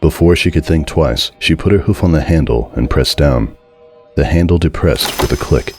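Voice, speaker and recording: A man's voice: a bass voice, normal speed, soft background music. A man narrating a scripted story as a dramatic reading.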